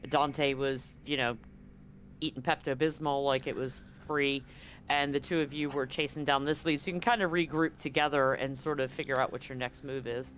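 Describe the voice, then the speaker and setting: A game master narrating a tabletop game in a conversational way, with dramatic pauses. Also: medium pitch, steady tone, a bit bright, a little hiss or hum.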